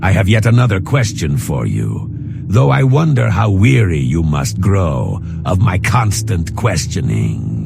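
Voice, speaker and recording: Very deep, gravelly lizard-man. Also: exaggerated voice. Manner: measured, formal, deliberate pauses. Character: reflective Argonian lizard-man companion, scarred, formal, philosophical. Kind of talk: scripted fantasy game character dialogue.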